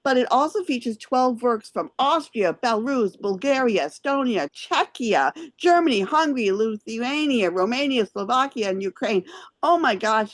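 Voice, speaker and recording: A woman's voice: fairly high pitch, no echo. A woman hosting a theater show, presenting and interviewing in a chatty style. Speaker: host and interviewer.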